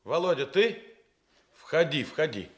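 A man's voice: a little high, sing-song, a little slow, fairly bright tone. An actor playing an ailing, grumbling but friendly older policeman in a radio play. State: groaning in pain. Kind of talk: Soviet radio play acting.

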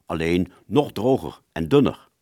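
A man playing a wounded British fighter pilot, telling his story in a radio play with a husky voice and halting, stammering delivery. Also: sits low, animated, mellow. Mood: haunted, halting. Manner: halting, stammering, repeats words. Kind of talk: first-person dramatic narration in a radio play.

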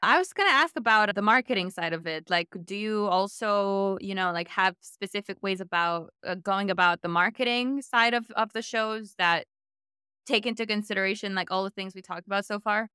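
A woman's voice: mid-range voice, normal speed, pushed and bright, smooth, no room sound, clean studio sound. A woman hosting a casual conversational interview, affirming and building on what her guest says. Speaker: podcast host, interviewer.

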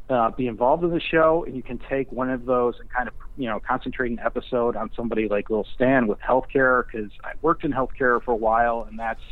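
A man's voice: medium pitch, dry recording. A man giving casual interview answers as a writer, hesitant and thinking aloud. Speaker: screenwriter being interviewed. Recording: thin phone-like remote line, dry.

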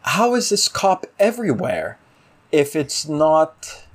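A man's voice: fairly high pitch, sing-song, takes it slowly. A man co-hosting a casual TV review talk, ranting emphatically with exasperation and rhetorical questions. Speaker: podcast co-host reviewing a TV series. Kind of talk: casual opinionated episode discussion.

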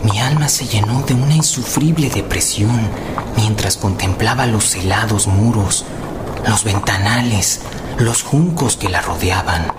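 An actor playing a melancholy gothic narrator oppressed by dread, confiding in a brooding radio-drama monologue. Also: male voice, lowish voice, unhurried, heavy background noise, close to an everyday voice. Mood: gloomy, uneasy. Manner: brooding, confessional. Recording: loud outdoor ambience with clip-clop hooves.

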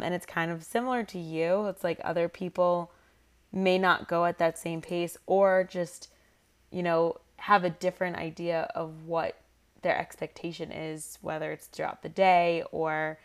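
A woman's voice: on the low side, steady tone, measured pace. A young woman co-hosting a podcast in casual, rambling conversation, her voice a little worn after losing it. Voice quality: slightly raspy from lost voice. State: voice worn from shouting and singing. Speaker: podcast co-host.

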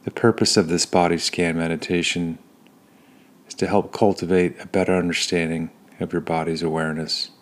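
A man leading a guided meditation in a calm, soothing manner, pausing between short phrases. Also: deep voice. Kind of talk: guided body scan meditation.

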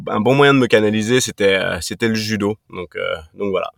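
Low-voiced man in his thirties, dry sound. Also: brisk, creaky, a bit bright, dry recording. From Brittany, France. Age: 30s. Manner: hesitant, many fillers and restarts. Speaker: podcast guest, fitness coach. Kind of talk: casual interview answers.

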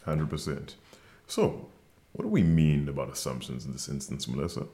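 A young man with a deep voice, co-hosting a casual podcast chat and teasing his co-host. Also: very animated, normal speed, dark tone. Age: young adult. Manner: teasing, jokey.

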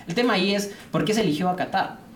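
A man's voice: sits high, fast, a loud crowd. A man co-hosting a podcast, discussing casually and giving opinions, often checking for agreement. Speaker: podcast co-host. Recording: echoey room, background noise.

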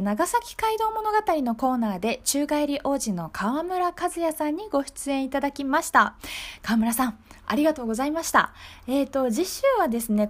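A woman presenting a radio programme as its host, speaking politely and conversationally and moving between scripted segments and her own remarks. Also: sits high.

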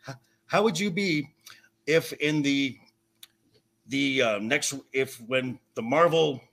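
A man in a heated podcast debate, speaking emphatically and indignantly and often stammering over repeated words, with a rough edge to his voice. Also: medium pitch, slow pace, a bit bright. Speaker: podcast guest. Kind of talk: heated debate about comic adaptations.

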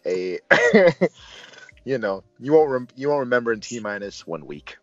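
A man's voice: medium pitch, sing-song, moderate pace, no room sound, highs cut off, faint music behind. A man hosting a casual movie review, talking in a joking, rambling way.

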